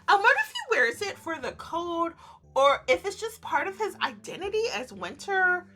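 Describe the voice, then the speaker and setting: A woman's voice: extremely high pitch, very animated, measured pace, sharp tone, faint music behind. A woman reading a visual novel aloud, with playful, effusive, casual reactions. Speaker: streamer playing a visual novel.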